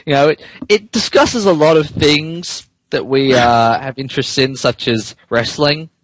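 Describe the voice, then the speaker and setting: A man hosting a podcast, switching between casual banter and comic dramatic reading in an amused, animated manner. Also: a little high, measured pace, dry recording, rough recording. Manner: animated, amused, breaks into laughter while reading. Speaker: podcast host and narrator.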